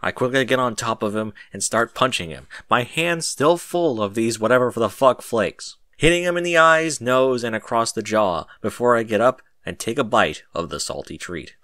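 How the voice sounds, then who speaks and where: A man reading a story as animated scripted narration, recorded close and dry. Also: medium pitch, normal speed, hardly any pauses, no echo. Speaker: narrator of a fan fiction audiobook.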